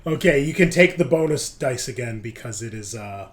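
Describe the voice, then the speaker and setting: Male game master, mid-pitched voice, loose colloquial tabletop narration. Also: expressive, normal speed. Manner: casual, colloquial, swearing.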